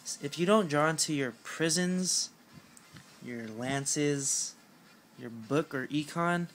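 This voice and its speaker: A young man with a raspy voice, chatting casually at home about a card game deck, rambling with lots of fillers and self-mocking jokes. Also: a little high, slow, fairly bright tone, a little hiss or hum. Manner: rambling, filler-heavy, self-mocking with frequent clearing of the throat. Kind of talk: casual talk to camera.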